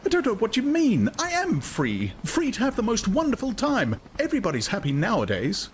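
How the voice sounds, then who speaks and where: A man's voice: high voice, huge pitch swings, a little fast, a little hiss or hum, voice pushed up. A man putting on a naive anxious young woman. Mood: pleading.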